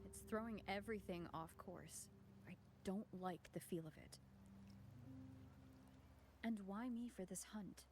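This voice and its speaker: An actress playing a thoughtful, wary young warrior in a fantasy game, serious and earnest. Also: female voice, medium pitch, measured pace, long pauses, noisy background, natural voice. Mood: uneasy. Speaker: gladiator champion character. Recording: game music behind voice.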